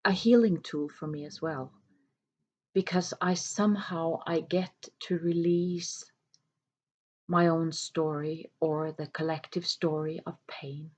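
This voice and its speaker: A woman whose first language is Norwegian, an author talking to camera in a slow, hesitant way with many pauses and fillers. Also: deep voice, darkish tone. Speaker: self-published author. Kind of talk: reflective talk to camera.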